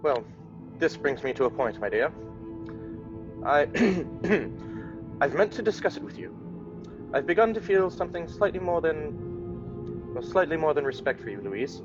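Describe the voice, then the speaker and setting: An actor playing a courtly, forward gentleman suitor with formal, genteel speech in radio melodrama style. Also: fairly high pitch, very animated, a little slow, pauses often, highs cut off, over music. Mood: courtly, hesitant. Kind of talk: old-time radio melodrama acting.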